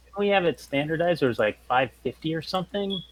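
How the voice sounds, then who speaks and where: A man's voice: on the high side, expressive, quick pace, poor audio. A man playing a tabletop game, chatting casually with the other players. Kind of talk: casual chat.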